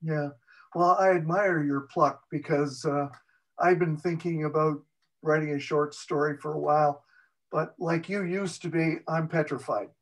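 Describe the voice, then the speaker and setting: A man's voice: on the high side, steady tone, measured pace, rough recording. Easygoing male interview host. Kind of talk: casual interview chat.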